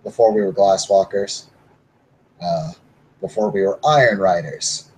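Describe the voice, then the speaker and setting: A man playing a low-voiced, musing werewolf. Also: a little mellow, slightly rough, low-quality recording. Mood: explaining. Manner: measured, muses with hums and pauses. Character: male werewolf warrior, measured and reasoning. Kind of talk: tabletop roleplay in character.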